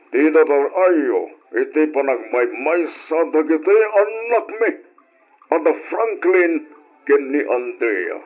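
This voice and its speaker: An actor playing a middle-aged father, a formal and insistent head of the family, speaking deliberately in an old radio drama on a lo-fi recording. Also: a little high. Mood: celebratory.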